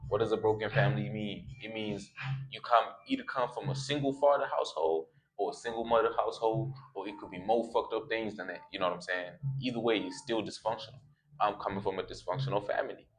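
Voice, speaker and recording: Slangy young man with a low voice. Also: fairly even intonation, quick pace. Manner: slangy, streetwise, repeats phrases for emphasis. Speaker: YouTuber. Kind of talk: casual motivational vlog.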